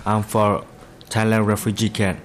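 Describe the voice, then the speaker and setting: A young man who is a native Karen speaker, giving student testimony in halting English. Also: on the low side, flat delivery, a little hiss or hum. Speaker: student witness.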